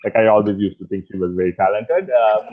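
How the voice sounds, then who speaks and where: A man in his thirties from Sri Lanka, a singer talking casually in an interview over a video call, with a rough voice and a relaxed, rambling way of speaking full of fillers. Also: lowish voice, fast talker, a little hiss or hum. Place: small indoor room at night. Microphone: earphones on a video call. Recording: tinny phone-like call audio.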